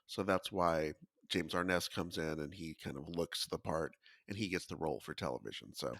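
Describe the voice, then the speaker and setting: A man with a deep voice talks on a podcast panel. He explains things in a casual, conversational style. The recording is very dry, with no audible room. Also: moderate pace, fairly bright tone, dry recording.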